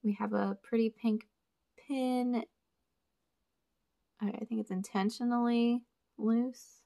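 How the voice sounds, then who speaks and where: Young woman chatting to her viewers while she sorts stuff, lots of vocal fry, talks things through out loud. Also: on the high side, steady tone, slow pace. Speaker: storage unit reseller and vlogger. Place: indoors at a table. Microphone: camera over a table, hands only in frame.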